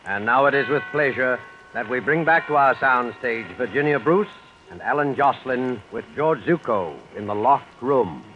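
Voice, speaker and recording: A man's voice: medium pitch, animated, normal speed, a little hiss or hum. A man introducing a radio drama as announcer, in scripted 1940s style. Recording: muffled vintage radio recording.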